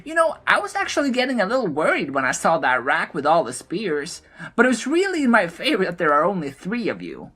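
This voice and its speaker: A woman's voice: fairly low pitch, wildly swooping pitch, fairly quick, fairly bright tone, breathy edge, pitched above the performer's own voice, full character voice. A woman voicing a gleeful, mischievous male gnome arsonist in a fantasy audio drama, with a cocky, taunting manner.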